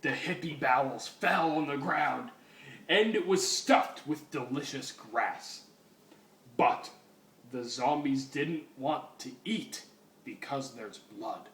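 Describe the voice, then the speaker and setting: A man giving a deliberate, melodramatic reading of a fan fiction story. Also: medium pitch, a bit bright. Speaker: story reader. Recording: roomy, distant mic, music behind.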